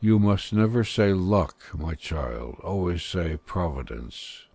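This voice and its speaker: Man reading as low, grave old abbé. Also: very animated, vocal fry, a little mellow, slightly rough, no echo, heavily acted. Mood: moved, admonishing. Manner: grave, deliberate, admonishing. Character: stern, blunt older male abbé with hidden kindness. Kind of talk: audiobook character dialogue.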